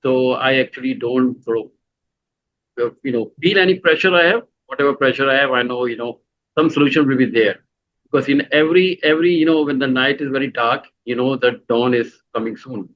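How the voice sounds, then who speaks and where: A middle-aged man with a Pakistani accent, answering questions at an ordinary pitch. He keeps stopping to restart his sentences and fills the gaps with "you know." The audio is slightly dull and lo-fi. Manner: halting, full of "you know" fillers and restarts. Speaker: guest. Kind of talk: conversational interview answers.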